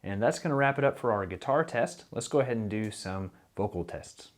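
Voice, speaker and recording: A man casually reviewing and demoing a condenser microphone in a small, fairly dead room. He talks in a relaxed, rambling way with many fillers, and his voice is a little low from recovering from an illness. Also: a little fast, faint music behind. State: slightly low voice, getting over an illness. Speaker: music teacher reviewing a microphone. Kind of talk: casual gear review and demo. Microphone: condenser microphone, no pop filter, varying distance.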